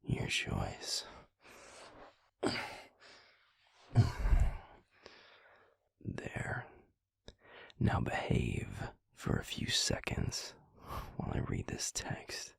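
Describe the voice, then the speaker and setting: Guy playing a grumpy tsundere werewolf boyfriend, audio rp, super low growly breathy voice, sarcastic but fond. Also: big pitch swings, a bit bright, whispered, full character voice. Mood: gruff, bossy. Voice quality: very deep, gravelly, breathy. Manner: slow teasing drawl with heavy sighs, dry sarcasm. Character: gruff, sardonic young male werewolf boyfriend, grudgingly affectionate. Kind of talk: intimate audio roleplay acting, close and half-whispered.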